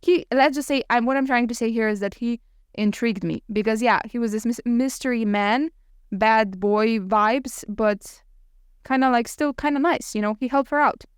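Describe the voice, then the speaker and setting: A woman with a fairly high, smooth voice talks as a podcast co-host in a casual book chat. She is animated and opinionated, and swears freely. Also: normal speed, no echo, studio quality.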